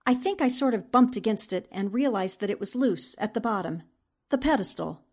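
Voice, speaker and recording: A woman's voice: medium pitch, expressive, fairly quick, darkish tone. A woman playing a plucky, determined newspaper reporter in a 1940s-style radio drama, with an earnest, dramatic delivery. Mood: shaken, recounting.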